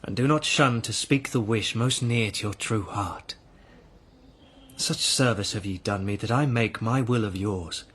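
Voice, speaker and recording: An actor playing a noble, courtly knight in a verse audio drama, speaking formally and earnestly. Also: male voice, fairly low pitch, measured pace, a bit bright, husky. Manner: formal, declamatory.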